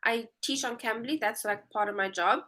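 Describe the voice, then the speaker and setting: A woman's voice: fairly high pitch, normal speed, pushed and bright. A woman in her twenties with a South African accent, chatting as an English tutor on a video call and explaining things patiently. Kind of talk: casual video-call conversation.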